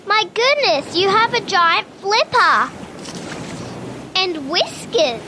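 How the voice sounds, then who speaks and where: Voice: very high voice, sing-song, exaggerated voice. A performer playing an earnest, kindly, innocent young schoolgirl in an old-fashioned radio serial comedy. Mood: delighted, friendly. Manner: innocent, slow, wide-eyed. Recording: loud seaside ambience and noise.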